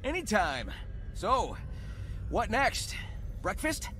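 A man's voice: high-pitched, huge pitch swings, slow pace, bright, forceful tone, slight background noise, heavily acted. A man voicing a cocky, upbeat young hedgehog hero in a cartoon video game, breezy and confident. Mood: relaxed.

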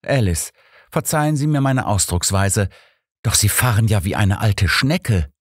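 A man's voice: on the low side, expressive, measured pace, husky, no echo, clean studio sound. A man reading an older doctor, a detective's loyal and puzzled sidekick, in a formal, incredulous manner for an audiobook.

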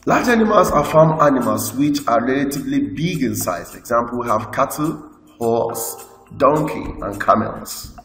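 A man with a Nigerian English accent, teaching a school lesson by slowly reading out lists from slides. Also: mid-range voice, animated, fairly bright tone. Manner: slow, list-like reading with explanations. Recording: echoey room with long reverb, faint music.

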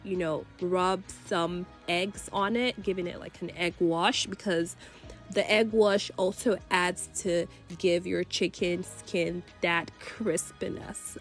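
Stuffy-nosed woman from nigeria, low-ish voice, laid back cooking voice-over, lots of "you know"s. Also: takes it slowly, soft background music. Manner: chatty, relaxed, many fillers like "you know". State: congested from a cold. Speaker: cooking channel host. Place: voice-over recorded separately from the kitchen footage.

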